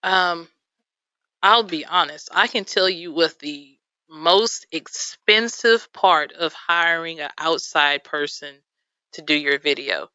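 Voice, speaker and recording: Bright, crisp woman guest, Texan. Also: low voice, takes it slowly, no room sound, muffled recording. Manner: chatty, many fillers, laughs easily. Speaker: videographer guest. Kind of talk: radio interview. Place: radio studio.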